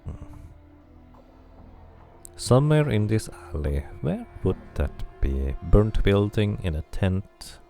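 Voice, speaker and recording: A man's voice: fairly low pitch, very animated, slow, vocal fry, long pauses, warm tone, faint music behind. A man casually commenting on his game as he plays, musing and thinking aloud. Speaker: game streamer. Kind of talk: casual let's play commentary.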